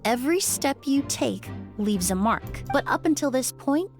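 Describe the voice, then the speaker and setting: A woman voicing a calm, astute young female investigator in a video game, speaking in a polite, measured, deliberate manner. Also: high-pitched, soft background music. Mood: composed, explaining.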